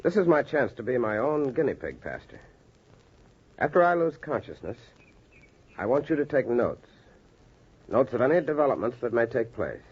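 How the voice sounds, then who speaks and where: An American man acting an earnest, determined doctor and storyteller in a measured 1940s radio style. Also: medium pitch, sing-song, husky. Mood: urgent, resolute. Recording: muffled vintage radio, music behind.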